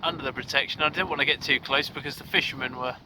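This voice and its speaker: A man narrating his solo sailing trip in a relaxed, hesitant way with plenty of fillers. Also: mid-range voice, fast talker. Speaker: solo sailor vlogging. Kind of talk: casual vlog narration. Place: aboard a small sailing yacht at sea. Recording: loud wind noise on the mic. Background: wind and boat noise.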